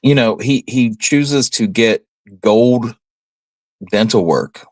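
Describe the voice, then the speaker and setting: A man's voice: mid-range voice, takes it slowly, no echo. A man co-hosting a radio show, talking in a casual conversational way. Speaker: co-host of a history radio show.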